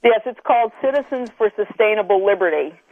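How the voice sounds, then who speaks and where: Guest: deliberate, earnest woman, heard over a dry, thin phone line. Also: mid-range voice, animated, dry recording. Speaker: radio show guest, activist. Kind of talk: radio interview by phone.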